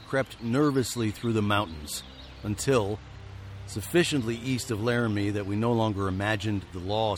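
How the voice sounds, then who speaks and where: A man playing a weary, wry nineteenth-century fugitive, telling his tale in a deliberate, literary way for a radio drama. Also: lowish voice, slight background noise, close to an everyday voice. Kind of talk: radio drama narration and acting.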